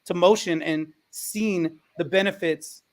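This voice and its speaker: A man's voice: high-pitched, a little slow. A man who teaches, moderating a panel discussion in a conversational way.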